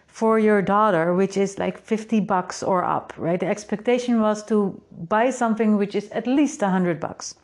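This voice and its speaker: A woman's voice: mid-range voice, a little mellow. A woman with a Dutch accent, a native Dutch speaker, talking in a reflective way to her creative community and often asking rhetorical questions. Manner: thoughtful, asks rhetorical questions, adds "right?". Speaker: artist and community host. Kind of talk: reflective solo talk.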